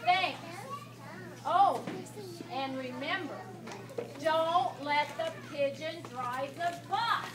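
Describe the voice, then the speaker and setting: A woman's voice: high-pitched, extremely slow, long pauses. A woman playing a friendly, trusting bus driver in a children's puppet show, politely giving instructions. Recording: echoey noisy room from far off.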